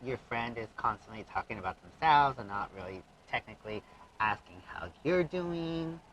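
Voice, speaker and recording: A man's voice: medium pitch, animated, normal speed, a bit bright, a little hiss or hum, low-quality recording. A man co-hosting a casual reality TV recap, emphatic and acting out what others said. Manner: emphatic, acts out quotes. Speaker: podcast co-host.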